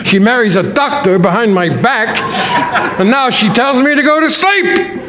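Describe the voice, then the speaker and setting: Older man playing a high-pitched, grumpy old husband. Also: expressive, normal speed, reverberant, crowd noise. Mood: exasperated. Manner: exasperated, complaining, indignant. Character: grumpy, anxious, long-suffering husband dreading an operation. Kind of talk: old-time radio comedy sketch acting.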